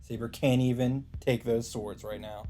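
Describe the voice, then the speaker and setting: A man narrates a story aloud as a let's play host. His voice is medium in pitch and dark, mellow in tone, with faint music behind. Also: steady tone, normal speed. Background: faint game music.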